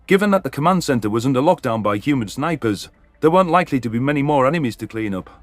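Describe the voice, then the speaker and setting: A man's voice: mid-range voice, lively intonation, fast, no echo, faint music behind, close to an everyday voice. A man playing a grieving, vengeful alien soldier who narrates a sci-fi war story in earnest, formal, articulate language. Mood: grim, intent. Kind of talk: first-person sci-fi story narration and dialogue.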